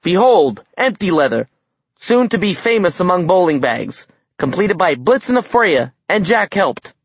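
A man's voice: high-pitched, big pitch swings, measured pace, husky, no echo, lo-fi sound, pitched above the performer's own voice, heavily acted. A man voicing a dwarf craftsman in a fantasy audiobook, declaiming with anxious bravado. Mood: proud. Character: proud but nervous male dwarf craftsman, showman-like.

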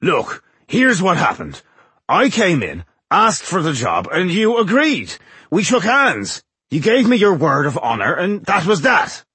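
Rasping, cocky, boozy dwarf. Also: male voice, high voice, huge pitch swings, measured pace, sharp tone, no room sound, pitched above the performer's own voice, full character voice. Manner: boastful, breezy, impudent. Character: brash, boozy male dwarf mason, cheeky trickster.

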